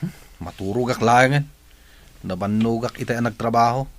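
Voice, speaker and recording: A man's voice: mid-range voice, normal speed, close to an everyday voice. A man playing a lazy, sleepy young boyfriend with a drowsy drawl in a radio comedy drama. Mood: sleepy, grumpy.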